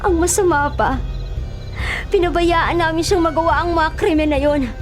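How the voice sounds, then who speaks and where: A woman's voice: very high voice, moderate pace. A woman with a Filipino accent playing a distraught, defiant heroine fighting for her friends, in an emotional radio drama style. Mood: grim, regretful. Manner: emotional, intense, melodramatic. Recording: dramatic music underneath.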